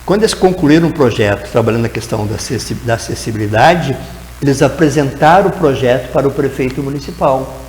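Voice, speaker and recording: A man's voice: medium pitch, quick pace, darkish tone, echoey room, big-room sound, slight background noise, rough recording. A man, a guest educator answering in a TV interview, explaining at length and tagging his sentences with "né?". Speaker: guest educator in teacher training.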